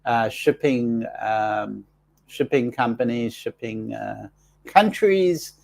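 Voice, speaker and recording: A man's voice: mid-range voice, slow pace, creaky, a little mellow. Rambling man with allergies, fillers. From South Africa. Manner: rambling, with frequent restarts and fillers. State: congested with allergies. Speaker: livestream host and healer. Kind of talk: casual livestream chat reading viewer comments.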